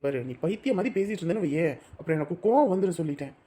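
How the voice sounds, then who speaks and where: A young actor playing a brash, protective lover who scolds and reassures forcefully. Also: male voice, high-pitched, lively intonation, very fast. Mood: reassuring. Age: young adult.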